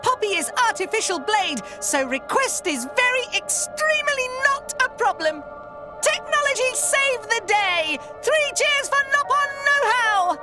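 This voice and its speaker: A woman's voice: very high voice, very animated, measured pace, over music, full character voice. A woman voicing a small, boastful, cheery creature inventor who talks in childlike broken grammar in a video game. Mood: boastful, excited.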